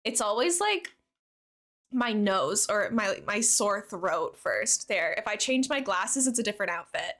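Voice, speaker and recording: A woman's voice: mid-range voice, lively intonation, a little slow, a bit bright. A young woman livestreamer chatting casually with her viewers in a bubbly, talkative way. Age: young adult. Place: live game stream.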